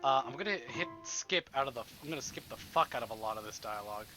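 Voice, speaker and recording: A man livestreaming a game with casual, expressive commentary, swearing freely and muttering to himself as he talks to chat. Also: medium pitch, fast talker, music in the background, poor audio. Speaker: live streamer.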